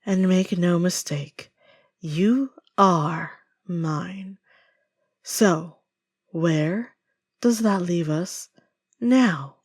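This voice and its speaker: Woman voicing a low, possessive stalker lover. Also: a little mellow, breathy edge, no echo, studio quality, exaggerated voice. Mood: coldly possessive. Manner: slow, sinister endearments, controlled. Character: possessive, menacing female stalker lover, silky and smug. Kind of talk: audio roleplay acting.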